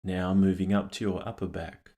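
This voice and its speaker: A man leading a guided meditation, speaking calmly and gently in unhurried phrases with long pauses. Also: sits low. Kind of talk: guided meditation, scripted narration.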